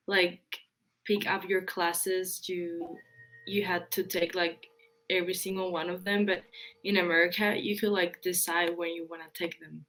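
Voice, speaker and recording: Casting: young woman from Spain, student interviewee, slow and halting, low-ish voice, lots of fillers. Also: a bit bright, poor audio. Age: young adult. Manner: hesitant, many fillers and "like". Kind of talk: casual interview answers.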